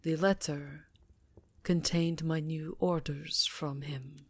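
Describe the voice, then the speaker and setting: Actress as vampire countess: low register, unhurried, imperious and secretive, breathy ASMR intimacy. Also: female voice, steady tone, no room sound, exaggerated voice. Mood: grave, confessional. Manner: measured, dramatic, breathy delivery dipping into whispers. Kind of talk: intimate ASMR roleplay monologue.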